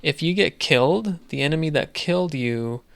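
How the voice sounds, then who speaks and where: A man chatting on a gaming podcast in a gravelly yet smooth, resonant voice. He explains how games work in a relaxed, matter-of-fact way. The sound is dry and close. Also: on the high side, normal speed, no echo. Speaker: podcast co-host. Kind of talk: casual gaming podcast chat.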